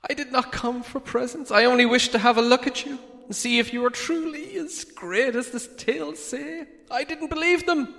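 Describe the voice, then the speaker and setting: A man voicing a small, nervous hobbit burglar in a storybook, thin-voiced, stammering a little and speaking in theatrical flattery and riddles. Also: very high voice, normal speed, gravelly, few pauses, big-room sound, pitched above the performer's own voice, heavily acted. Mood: nervous, polite. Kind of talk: dramatic storybook character reading.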